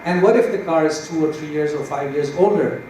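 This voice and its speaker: A man's voice: on the high side, a little fast, dark tone. A man on a conference panel giving a spontaneous explanatory answer. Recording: very echoey, distant hall mic, noisy.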